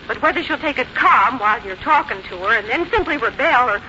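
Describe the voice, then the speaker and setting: A woman's voice: high-pitched, lively intonation, quick pace, pushed and bright. An actress playing a fretful, anxious, wordy American woman in a 1950s radio drama. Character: fretful, anxious, chattering woman, a worried confidante. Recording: muffled 1951 radio recording with hiss.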